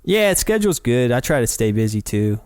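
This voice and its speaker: A man's voice: medium pitch, sing-song, moderate pace, darkish tone, clean tone, dry recording. A man from North Carolina hosting a podcast, friendly and upbeat, chatting casually with lots of fillers. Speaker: podcast host. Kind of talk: casual interview chat. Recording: dry close sound with faint music behind.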